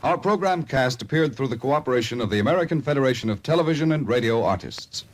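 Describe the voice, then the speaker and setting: A man's voice: mid-range voice, expressive, fast. A man formally reading an announcement in the style of a 1950s radio program.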